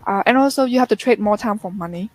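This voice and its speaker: Woman in her 30s, thoughtful and sincere, talking over a video call, mid pitch. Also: fast. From Vietnam. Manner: earnest, reflective storytelling. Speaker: interview guest. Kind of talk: casual interview chat.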